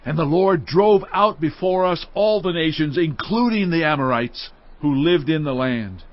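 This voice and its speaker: An actor voicing the people of Israel, declaiming a fervent pledge of loyalty in a dramatized audio Bible. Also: male voice, fairly high pitch, expressive, moderate pace, muffled recording, close to an everyday voice. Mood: fervent, resolute.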